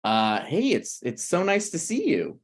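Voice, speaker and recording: A man's voice: on the high side, wildly swooping pitch, a little slow, exaggerated voice. A man playing an awkward, meddling friend in a sitcom table read, hesitant and apologetic yet blunt. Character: awkward, opinionated, meddling male friend of a married couple. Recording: online video call table read.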